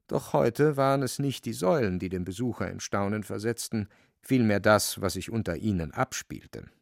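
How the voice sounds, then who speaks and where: Creaky-voiced man narrating a radio play. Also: lowish voice, expressive, normal speed, dry recording, clean studio sound. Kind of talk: scripted literary narration.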